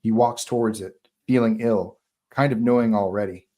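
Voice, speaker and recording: A man's voice: fairly low pitch, steady tone, normal speed, dark tone. A man narrating stage directions at a live screenplay table read.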